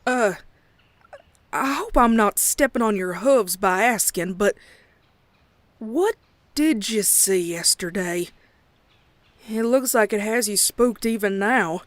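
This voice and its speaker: A woman's voice: mid-range voice, animated, slow pace, pauses often, dry recording. A woman playing an anxious young farm pony with a Southern US accent, telling a horror tale in a folksy, uneasy way. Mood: nervous, concerned. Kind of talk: dramatic first-person horror narration and dialogue. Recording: prominent music behind the voice. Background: music.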